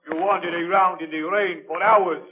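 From a tinny, narrow 1930s radio transcription comes a stern, stubborn village burgomaster, acted by a man who speaks in a fairly high voice. He presses his questions in an officious, accusing way, as in a vintage radio play. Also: steady tone, moderate pace, natural voice. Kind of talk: 1930s radio drama acting.

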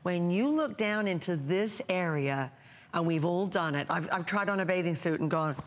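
A woman in her fifties pitching a skincare product on a TV shopping show, chatty and emphatic, stacking up vivid words, recorded on a lapel mic in a bright studio. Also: expressive, moderate pace. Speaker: brand founder presenting on a shopping channel. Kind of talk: home shopping sales pitch.

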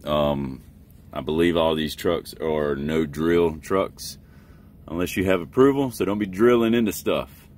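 A man's voice: rumbling low, measured pace, darkish tone, slight background noise. A man in his thirties, a truck driver, talking in a laid-back, folksy way in a casual how-to vlog. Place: inside a truck cab.

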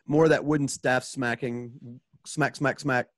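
A man's voice: mid-range voice, fairly even intonation, measured pace, very noisy. A man directing a radio drama, casually narrating sound cues in an informal way with spoken sound effects.

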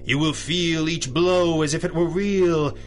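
An actor playing a resolute, wry masked superhero with crisp authority in a radio drama. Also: male voice, fairly high pitch, steady tone, a little slow, soft background music, close to an everyday voice. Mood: menacing calm. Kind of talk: 1930s-style radio drama acting.

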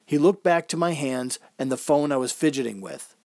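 A man's voice: mid-range voice, normal speed, darkish tone, no room sound, studio quality. A man reading a scripted first-person story as narrator, in the manner of old-time radio drama.

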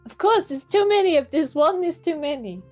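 A woman's voice: high voice, expressive, fairly quick, darkish tone, soft background music. A woman playing a party game, chatting casually with friends. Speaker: game player. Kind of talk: casual chat.

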